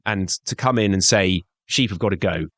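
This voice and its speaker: A middle-aged man with a low voice speaks earnestly as an interview guest about his conservation work. His delivery is conversational, with many "you know" fillers, and the recording is dry and close. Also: moderate pace, fairly bright tone, dry recording. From born in Scotland, grew up in Devon.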